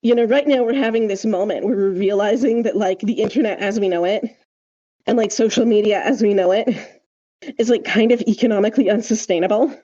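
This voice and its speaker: A woman's voice: mid-range voice, brisk, dark tone, highs cut off. A woman chatting casually and emphatically as a podcast co-host, wry and discursive, with plenty of "like" along the way. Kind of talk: casual opinionated podcast chat.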